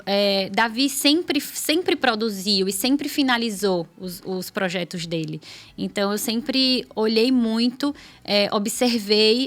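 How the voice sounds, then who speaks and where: A woman's voice: fairly high pitch, a bit bright. A woman in her thirties with a Northeastern Brazilian accent, chatting casually as a podcast guest and telling stories from her career. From Aracaju, Sergipe, Brazil. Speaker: podcast guest, architect and production course teacher. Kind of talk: casual podcast conversation, personal storytelling.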